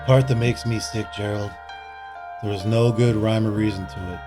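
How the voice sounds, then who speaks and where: Guy playing tired bitter cop, low voice, brooding tough talk, crime radio drama, music behind. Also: steady tone, normal speed, natural voice. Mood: bitter, resigned. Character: weary, bitter, vengeful male police detective with demons. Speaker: police detective. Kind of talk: gritty crime audio drama acting.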